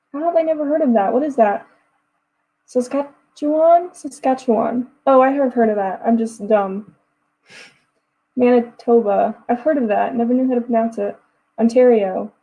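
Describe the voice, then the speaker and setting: A woman's voice: on the high side, unhurried, pauses often, dark tone, poor audio. A woman of twenty-one from Texas, chatting casually with her livestream viewers and answering their messages as they come in. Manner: chatty, reads chat messages aloud and answers them. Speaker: livestream host.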